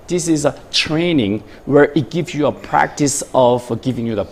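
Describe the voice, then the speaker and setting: A man's voice: medium pitch, normal speed, a little mellow, a little hiss or hum. A man, a reverend guest on a studio interview, explaining earnestly with everyday examples and frequent "uh" and "yes". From South Korea. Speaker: reverend, mindset education guest.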